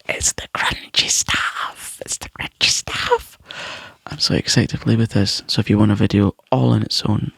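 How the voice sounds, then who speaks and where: A Scottish man chatting to viewers in a soft, rambling ASMR whisper, close to the mic. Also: fairly low pitch, creaky, breathy edge, dry recording. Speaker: ASMR YouTuber.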